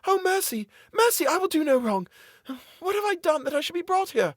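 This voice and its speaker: A terrified young woman held captive begs for her freedom in a high, pleading voice, as a male narrator puts her on. Her speech rises and falls with animated swings, and the recording is dry and close. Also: brisk, dry recording. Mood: pleading, desperate. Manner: high-pitched, desperate, pleading. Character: frightened young woman imprisoned in an asylum, pleading.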